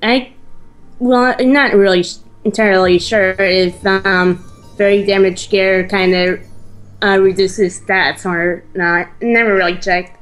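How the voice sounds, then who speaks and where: A woman with a medium-pitched voice chats as a gaming co-player. She speaks slowly and haltingly, feeling her way through casual explanations over soft game music. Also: fairly even intonation, rough recording. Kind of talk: casual gameplay chat.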